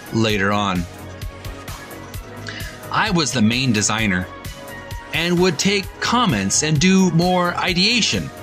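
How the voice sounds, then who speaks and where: Man with a lively, halting delivery over music. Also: mid-range voice. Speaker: host of a video game channel. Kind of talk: casual intro and book reading aloud. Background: background music.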